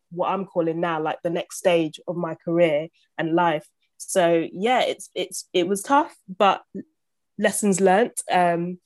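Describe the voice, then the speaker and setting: Animated woman in her thirties, quick laughs, close and dry sound. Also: on the low side, no room sound. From born in Uganda, raised in Southeast London. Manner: animated and chatty, laughs often, many restarts. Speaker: podcast guest, property investor. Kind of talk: casual interview chat.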